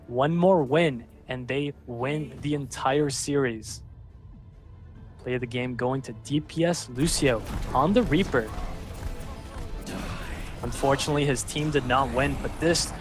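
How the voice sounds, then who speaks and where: A man casting a live online match, calling the action and filling pauses with rambling, self-deprecating asides. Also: medium pitch, faint music behind. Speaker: esports caster. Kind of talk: live game commentary.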